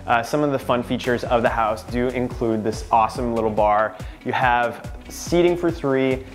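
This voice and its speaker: A man in his thirties, a real estate agent narrating a walkthrough of a house in an upbeat, informative way. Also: medium pitch. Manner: upbeat, informative salesman patter. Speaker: real estate agent giving a home tour. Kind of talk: walkthrough home tour narration. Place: inside a renovated house.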